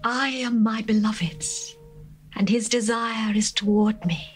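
A woman's voice: fairly high pitch, steady tone, a little slow, close to an everyday voice. A woman voicing a longing young bride. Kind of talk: dramatized scripture reading. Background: faint music.

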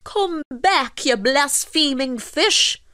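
A woman's voice: a little high, slow pace, no room sound, clean studio sound, pitched above the performer's own voice. A woman voicing a hot-tempered peasant fisherman in a storybook tale, with folksy, emphatic, exclamatory speech. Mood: furious. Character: hot-tempered Irish peasant fisherman, grown man, blustering and wheedling. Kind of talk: storybook character voice.

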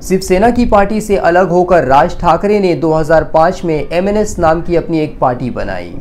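A man's voice: on the high side, lively intonation, normal speed, a little mellow, slight background noise. A man presents a scripted news explainer, addressing viewers directly in a formal way. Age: 20s.

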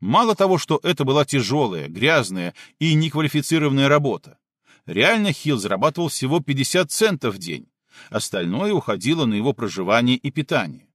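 A man reading an audiobook as a scripted narration, measured and clearly articulated. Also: medium pitch, big pitch swings, fairly bright tone, no room sound, clean studio sound.

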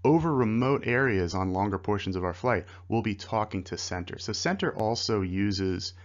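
A man's voice: lowish voice, normal speed, lo-fi sound. A man narrating a slide lesson as a flight instructor, in a conversational, explanatory way.

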